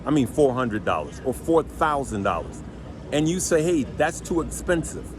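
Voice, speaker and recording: A deep-voiced man gives casual stock trading advice to his viewers. He is emphatic and repeats himself to make each point land. His pitch sits mid-range, and steady traffic and street noise run behind him. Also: moderate pace. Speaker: stock trading channel host.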